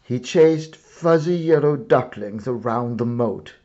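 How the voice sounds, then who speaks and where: A man reading a children's picture book aloud in a theatrical, expressive way with dramatic pauses. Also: medium pitch, lo-fi sound. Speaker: storybook reader.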